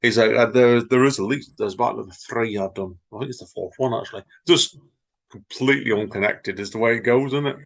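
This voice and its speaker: A man's voice: mid-range voice, fairly quick, gravelly. A man, co-hosting a podcast, reading stories and limericks aloud and chatting with wry teasing. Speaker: podcast co-host and reader. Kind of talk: reading poems and casual chat.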